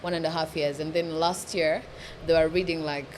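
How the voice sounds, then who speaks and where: A woman's voice: sits low, normal speed, a little hiss or hum, poor audio. A young adult woman from Uganda, a podcast guest chatting casually and acting out what people said to her. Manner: animated storyteller who acts out quoted voices.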